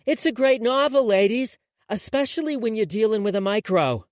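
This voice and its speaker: A sharp-tongued young woman who mocks everyone around her with dry wit, voiced by a male reader. The voice sits high and swings freely. The sound is close and dry. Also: expressive, normal speed, no echo. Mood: mocking.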